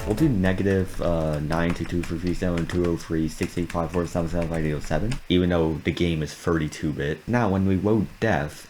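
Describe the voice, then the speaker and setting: A man giving casual, amused and reactive gaming commentary, talking through what he does as it happens. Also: low voice. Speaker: video creator narrating a game modding experiment. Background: background music.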